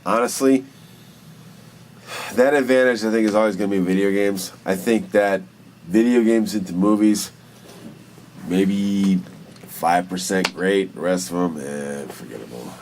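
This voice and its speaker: Slangy, profane man over clear background noise. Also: sits low, measured pace, pauses often, darkish tone. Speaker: podcast co-host. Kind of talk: casual chat.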